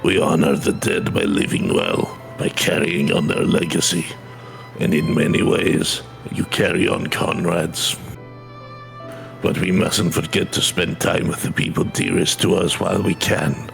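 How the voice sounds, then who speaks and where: A man voicing a gentle, solemn bereaved spouse from a fantasy game, soft and measured. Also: low-pitched, animated, creaky, faint music behind, heavily acted. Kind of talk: video game dialogue read aloud.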